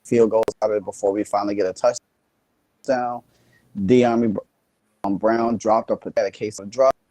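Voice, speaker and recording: A sleepy man joining a sports talk show as a guest, speaking haltingly with many fillers and restarts. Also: fairly low pitch, little pitch movement, dark tone, dry recording, rough recording.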